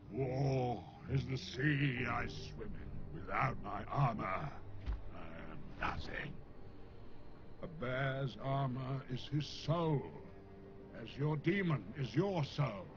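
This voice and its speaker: A man voicing a slow, solemn, proud warrior bear. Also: medium pitch, lightly acted. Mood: grave, proud. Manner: solemn, weighty, measured delivery. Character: proud, weary armored warrior bear, grave and honor-bound. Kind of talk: dramatic fantasy video game dialogue. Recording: muffled game audio under loud music.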